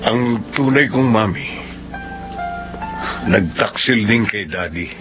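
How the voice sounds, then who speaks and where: An actor playing a principled, reasoning young man in a Filipino radio drama, measured and serious. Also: fairly low pitch. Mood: sober, confiding. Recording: dull lo-fi radio sound, music behind.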